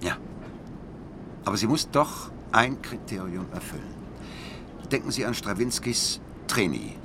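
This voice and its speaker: An actor playing a blind, worldly music critic in a radio drama, speaking eloquently and deliberately with bitter passion. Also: male voice, lowish voice, lively intonation, noisy background. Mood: opinionated. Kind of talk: radio drama dialogue and monologue. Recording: music behind the voice.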